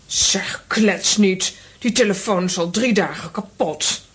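A scolding, anxious aunt from a children's audio play. Her voice is raspy. It sits at an average pitch. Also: takes it slowly. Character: Sidonia, fretful, scolding cartoon aunt. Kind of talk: children's audio drama acting.

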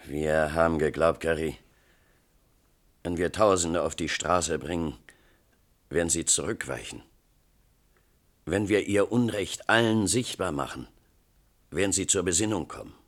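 A grim, resolute protest leader with a very deep voice. He speaks in firm, terse declarations, as a disillusioned fighter in a radio drama. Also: a little slow, pauses often. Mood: bitter resignation. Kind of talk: German radio play acting.

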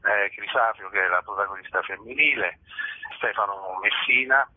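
A man being interviewed as a stage actor over a tinny phone line, speaking in hesitant, halting phrases. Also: fairly low pitch, dry recording. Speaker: stage actor interviewed about his show. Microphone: telephone. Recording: tinny, narrow-band telephone line.

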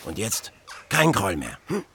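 An actor in a radio drama playing a courteous, self-assured baron acting as a duel second, formal and measured in manner. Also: male voice, lowish voice, wildly swooping pitch, creaky, a bit bright, slight background noise, exaggerated voice. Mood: brisk, authoritative.

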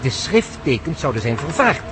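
An actor playing an excitable, pedantic male professor in a radio play, lecturing precisely with dramatic pauses. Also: medium pitch, natural voice. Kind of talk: Dutch radio play acting. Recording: noisy radio play, engine drone.

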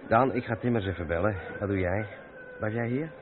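An actor playing a practical, down-to-earth lorry driver in a Dutch radio drama. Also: male voice, on the low side, brisk, crowd noise, natural voice. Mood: matter-of-fact. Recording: muffled narrow-band old radio play, noisy.

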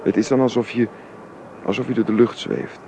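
A man's voice: medium pitch, brisk, vocal fry, dark tone, lightly acted. A middle-aged man playing a wistful, affectionate father in a naturalistic radio drama, searching for his words and repeating them. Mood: wistful, reflective. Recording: noisy radio play recording.

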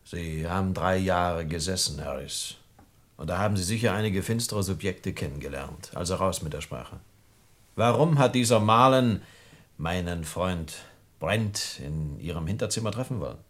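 Stern, sardonic police inspector. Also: male voice, sits low, lively intonation, normal speed. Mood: threatening. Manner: commanding, sarcastic. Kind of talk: 1960s German radio play acting.

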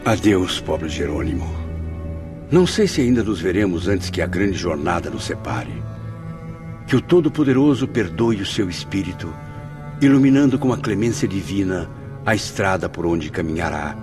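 A man's voice: low-pitched, sing-song, moderate pace. A man with a Brazilian accent playing a humble, earnest, sorrowful spirit disciple in a radio drama, speaking formally and with feeling. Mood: sorrowful, compassionate. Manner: formal, emotive. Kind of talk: Portuguese-language radio drama acting. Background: music.

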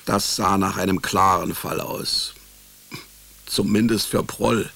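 An actor playing a wry, weary private detective, narrating his own story in a dry, laconic, deadpan way for a radio drama. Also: deep voice, takes it slowly, vocal fry, exaggerated voice. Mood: sober, deadpan. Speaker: narrator and lead character. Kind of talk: hard-boiled first-person radio drama narration.

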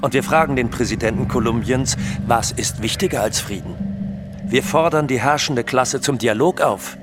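Actor as defiant, menacing cartel boss over guitar. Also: male voice, medium pitch, normal speed, natural voice. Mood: defiant, declamatory. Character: defiant, menacing Colombian drug cartel boss, self-assured. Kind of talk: German radio docudrama acting. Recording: voice over prominent guitar music. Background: guitar music.

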